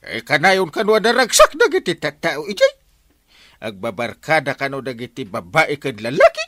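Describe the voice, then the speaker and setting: An actor playing a slow-witted, jovial village captain in a comedy radio drama, hesitant and bumbling, with a northern Philippine Ilocano accent. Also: male voice, on the high side, huge pitch swings, a bit bright, no echo, clean studio sound, heavily acted.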